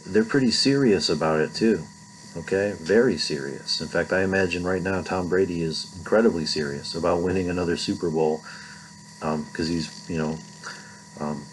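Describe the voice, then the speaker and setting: A man's voice: deep voice, normal speed, a little hiss or hum, rough recording. A middle-aged man giving an informal recorded philosophy lecture in a conversational way, often checking in with "right?".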